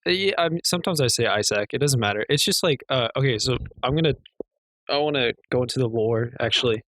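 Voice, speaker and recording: A young man co-hosting a casual podcast, with a quiet, slightly hoarse voice, rambling and joking with plenty of swearing. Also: medium pitch, fast talker, dry recording. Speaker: podcast co-host. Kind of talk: casual chat.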